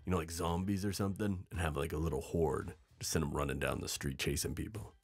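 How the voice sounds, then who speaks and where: Easygoing boyfriend type, male actor, deep and gravelly, casual, for audio drama. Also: steady tone, fast, no room sound, exaggerated voice. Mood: joking. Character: easygoing, joking boyfriend meeting new friends. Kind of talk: naturalistic audio drama acting.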